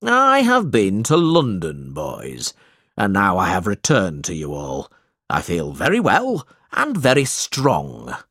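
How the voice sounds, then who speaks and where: A man doing a loud, bullying schoolmaster. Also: low-pitched, wildly swooping pitch, takes it slowly, dry recording, studio quality, full character voice. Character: cruel, boastful male schoolmaster, middle-aged. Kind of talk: audiobook character reading.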